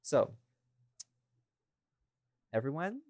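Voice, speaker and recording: A man's voice: on the high side, sing-song, very slow, a little mellow, no echo, studio quality. A man hosting a casual behind-the-scenes podcast chat in a rambling, informal way. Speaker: podcast host and audio creator.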